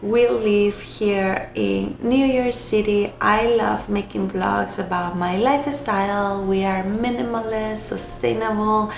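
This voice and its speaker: A young woman from Costa Rica, a native Spanish speaker, chatting casually to camera in a hoarse, raspy voice, hesitant and correcting herself as she goes. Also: medium pitch, fairly even intonation. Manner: hesitant, self-correcting, unhurried. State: hoarse from illness. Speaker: vlogger. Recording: echoey room, faint noise.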